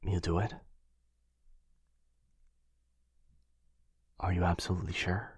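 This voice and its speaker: A man playing a gentle, protective vampire lover in an intimate boyfriend ASMR roleplay, tender and hushed, with a breathy, husky voice. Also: deep voice, level tone, fast, no echo. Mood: worried, apologetic. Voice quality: breathy, husky, often whispering. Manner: tender, hesitant, reassuring, hushed. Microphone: close microphone.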